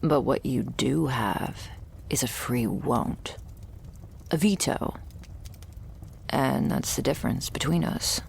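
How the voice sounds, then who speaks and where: A woman playing a wry, guarded young thrall in a vampire ASMR roleplay, gravelly and often slipping toward a whisper, with a dry, hesitant way of musing. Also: extremely low pitch, takes it slowly, pauses often, a little hiss or hum, heavily acted.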